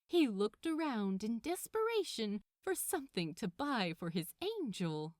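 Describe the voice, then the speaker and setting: A woman's voice: a little high, sing-song, a little slow, a little mellow, dry recording, clean studio sound. A woman narrating a piece of fiction expressively, as a scripted audiobook-style reading.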